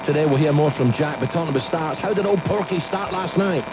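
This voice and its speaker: Bloke hosting breakfast radio, bit high pitched, waffly jokey banter, lots of background noise. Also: male voice, quick pace. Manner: rambling, jokey teasing. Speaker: radio show host. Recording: noisy radio broadcast capture.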